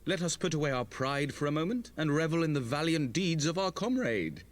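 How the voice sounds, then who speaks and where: A man's voice: a little high, animated, fairly quick, lightly acted. An actor playing a pompous, vain young nobleman in a fantasy game, with a theatrical manner. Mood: grandly magnanimous.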